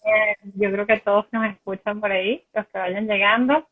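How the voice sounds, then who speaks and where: A woman hosting a casual video call interview, relaxed and hesitant, with many fillers. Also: medium pitch, moderate pace, narrow, tinny audio. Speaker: host. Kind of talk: casual live video chat.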